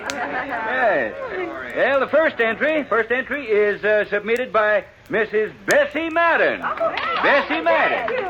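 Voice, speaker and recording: An actor playing a fussy, hesitant small-town fair official in a 1950s radio western. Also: male voice, sits high, full character voice. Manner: hesitant, fumbling, nervously chuckling. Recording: muffled, noisy 1950s radio broadcast.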